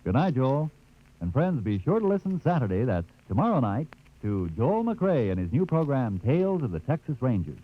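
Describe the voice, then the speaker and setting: A man's voice: mid-range voice, sing-song, moderate pace. An American man with an upbeat, folksy sales delivery in scripted 1950s radio advertising. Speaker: radio sponsor pitchman. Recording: dull, noisy vintage radio recording.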